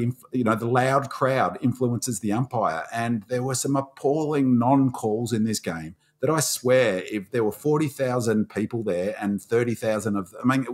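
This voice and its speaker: An Australian man with a croaky voice from screaming, ranting in casual podcast chat as a panelist. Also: medium pitch. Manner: fired-up, ranting.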